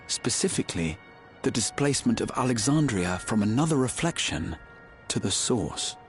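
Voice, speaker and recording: An actor voicing a self-assured young scholar in a video game, explaining things in formal, precise, deliberate phrases. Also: male voice, mid-range voice, very animated, soft background music, close to an everyday voice. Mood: measured, analytical. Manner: articulate, formal, deliberate lecturing delivery. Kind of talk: scripted video game exposition.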